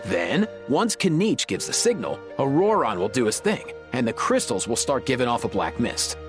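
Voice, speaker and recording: A man's voice: mid-range voice, sing-song, normal speed, over music, close to an everyday voice. A man voicing an easygoing, organised young man in a video game. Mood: relaxed, explaining.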